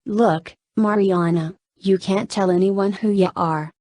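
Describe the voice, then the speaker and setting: A woman's voice: mid-range voice, fairly even intonation, measured pace, darkish tone, no echo, natural voice. Woman acting a folksy Southern nurse, dry recording. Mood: urgent, warning. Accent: slight US Southern. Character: motherly, brisk hospital nurse woman, secretive and cautioning.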